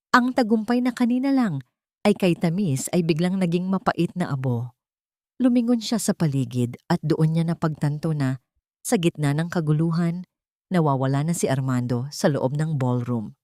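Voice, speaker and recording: A woman's voice: low voice, a little mellow, dry recording, clean studio sound. A woman narrator reading a dramatic scripted story.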